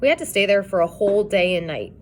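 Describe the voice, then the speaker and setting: A woman reading aloud and voicing Chet, an earnest, shy boy new in town, in a soft, unsure boyish way. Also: medium pitch, expressive, quick pace, a little hiss or hum, close to an everyday voice.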